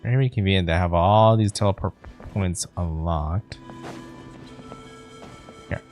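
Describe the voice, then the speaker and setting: A man with a lowish voice chats casually as a game streamer. Faint game music plays behind his let's play commentary. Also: unhurried, darkish tone.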